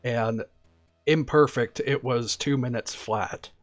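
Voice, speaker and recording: A man streaming a video game, talking casually and quietly about what he's doing in a relaxed, chatty way. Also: mid-range voice, takes it slowly, soft background music. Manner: soft-spoken, relaxed and chatty. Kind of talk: casual gameplay commentary.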